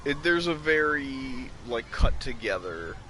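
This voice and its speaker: A man's voice: mid-range voice, big pitch swings, unhurried, background noise. A man giving casual, slangy and profane game commentary as a podcast host. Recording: loud game music behind voice.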